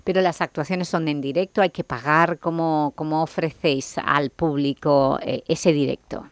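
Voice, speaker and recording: Low-voiced woman hosting a radio show. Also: fairly even intonation, no echo. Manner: inquisitive, brisk questions. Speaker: radio host. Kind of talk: radio interview.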